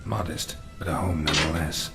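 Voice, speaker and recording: An actor playing a gruff, world-weary monster hunter in a fantasy game, with a gravelly voice and clipped, deadpan delivery. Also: male voice, rumbling low, fairly quick, loud music behind the voice. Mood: brooding, observant.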